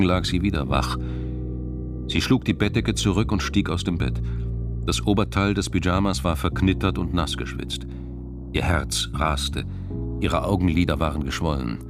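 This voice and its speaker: A man's voice: sits low, moderate pace. A man narrating a crime radio drama in a scripted, literary style, with music behind him.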